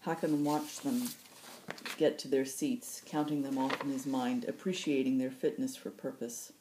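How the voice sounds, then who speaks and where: A woman's voice: rumbling low, steady tone, moderate pace, background noise. A woman hosting a story reading, narrating fiction excerpts in an audiobook style. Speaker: host reading book excerpts.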